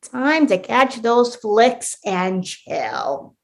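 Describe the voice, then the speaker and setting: Actress as an exasperated young woman, high, swooping voice. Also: sing-song, drawn-out words, creaky, exaggerated voice. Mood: deflated. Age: young adult. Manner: theatrical, sarcastic, big exclamations. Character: exasperated, sarcastic young woman, weary mall mascot. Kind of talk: comic radio play acting.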